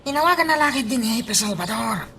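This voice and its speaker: A man's voice: very high-pitched, animated, fairly quick, vocal fry, pushed and bright, a little hiss or hum, heavily acted. An actor with an Ilocano Filipino accent playing a nervous, subservient henchman making excuses in a radio drama. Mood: nervous, defensive. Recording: music behind the voice.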